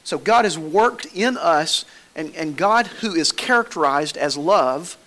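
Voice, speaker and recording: Man, Southern American accent, pastor, sermon, folksy, slightly high voice, church. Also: expressive, measured pace, fairly bright tone, rough recording. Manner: folksy, conversational preaching with homespun stories.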